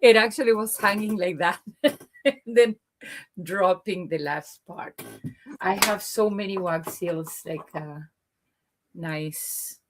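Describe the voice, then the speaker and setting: A woman with a deep alto voice, chatting as a guest and telling lively stories. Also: slow, pauses often, slight background noise. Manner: lively, animated storyteller. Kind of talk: casual chat.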